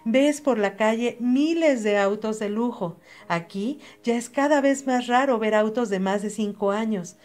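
Earnest Mexican woman, slightly high voice. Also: measured pace, darkish tone, faint music behind. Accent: Mexican Spanish. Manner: direct, earnest, addresses the listener as you. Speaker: channel host. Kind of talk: motivational voice-over talk.

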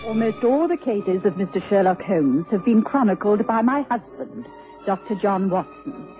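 An actress in a radio drama playing a proper, distressed British wife, with a genteel, husky voice. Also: female voice, mid-range voice, lively intonation, moderate pace, over music, close to an everyday voice. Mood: troubled, grave. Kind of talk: radio drama acting with first-person narration. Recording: muffled radio drama recording.

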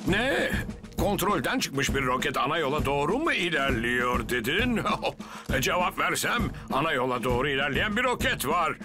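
A man's voice: fairly high pitch, sing-song, moderate pace. A man playing an older, blustery and officious fire station chief in a children's cartoon dub. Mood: flustered. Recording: loud music under the voice.

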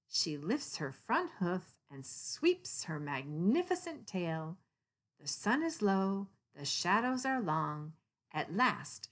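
A woman narrating a children's picture book in an expressive read-aloud style. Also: on the low side.

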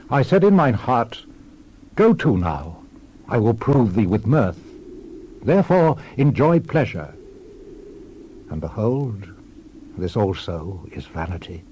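An actor playing a world-weary, reflective old king and preacher in a dramatized scripture reading, solemn and measured. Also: on the low side, a little mellow, a little hiss or hum.

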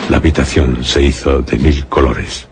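A man with a Castilian Spanish accent narrating a suspense radio drama in a grave, measured storytelling manner. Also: very deep voice, steady tone, over loud music.

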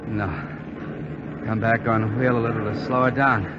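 An actor playing a calm, reassuring American bomber crewman in a 1940s radio drama. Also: medium pitch, normal speed, natural voice. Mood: steady, instructing. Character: steady, reassuring American bomber crewman coaching his friend. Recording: muffled, faintly noisy 1940s radio recording.

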